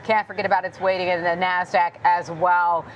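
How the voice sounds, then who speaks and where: A woman anchoring a financial news segment, brisk as she steers the questions. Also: on the low side, flat delivery, highs cut off, slight background noise.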